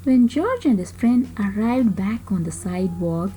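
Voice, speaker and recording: Woman, storybook narrator, lively swooping intonation, unhurried pace, dramatic emphasis. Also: medium pitch. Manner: animated, dramatic pauses and emphasis. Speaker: children's storybook reader. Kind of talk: expressive read-aloud narration of a children's story.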